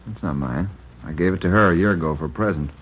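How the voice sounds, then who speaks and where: A husky, sullen murder suspect. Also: male voice, low voice, fast talker. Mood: defensive. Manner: defensive, resentful protesting. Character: defensive, sullen male murder suspect, ex-sailor. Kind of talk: 1940s-50s radio drama acting. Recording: lo-fi vintage radio broadcast.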